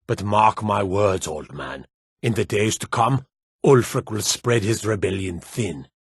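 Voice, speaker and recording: Stern nord warrior lord for a fantasy game, low slightly husky voice, proud and commanding, weighty delivery. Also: male voice, measured pace, dry recording, studio quality, exaggerated voice. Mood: bitter, grave. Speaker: video game voice actor. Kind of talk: scripted fantasy game dialogue.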